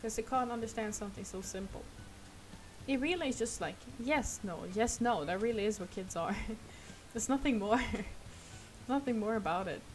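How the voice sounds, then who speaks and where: A woman's voice: fairly high pitch, moderate pace, poor audio. Young Swedish woman with a sore throat. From Sweden. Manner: rambling, soft, laughs often. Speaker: streamer. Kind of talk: casual chat while gaming. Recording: loud noise behind the voice.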